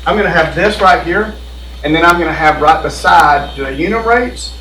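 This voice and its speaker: A man's voice: fairly high pitch, moderate pace, pushed and bright, slight background noise. A man explaining insurance options to a meeting in a folksy, repetitive way. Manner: folksy, explanatory, repeats himself. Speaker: insurance benefits presenter. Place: board meeting room. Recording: echoey room, mic at a distance.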